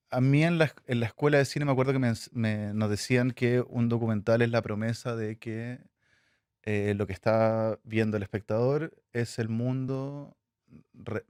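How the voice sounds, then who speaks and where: Halting man, low smooth voice. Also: darkish tone, dry recording, studio quality. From Chile. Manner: halting, many fillers and restarts. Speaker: filmmaker guest. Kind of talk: interview answers.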